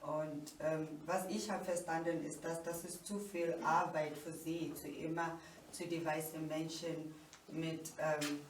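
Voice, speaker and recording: A woman interpreting a talk, speaking slowly and searching for words. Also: low voice, flat delivery. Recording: roomy, from a distance, faint background noise.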